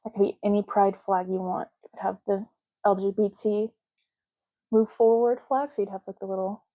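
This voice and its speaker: A woman doing a soft-spoken ASMR roleplay as a clerk, explaining options in a casual, chatty way. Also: mid-range voice, narrow, tinny audio. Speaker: ASMR creator playing a clerk making ID cards.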